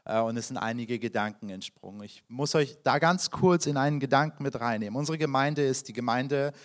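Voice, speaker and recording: A man's voice: mid-range voice, a little fast. Man in his thirties, guest pastor. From Iran. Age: 30s. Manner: warm, chatty and personal, with frequent fillers and restarts. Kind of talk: sermon introduction to a congregation. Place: church hall.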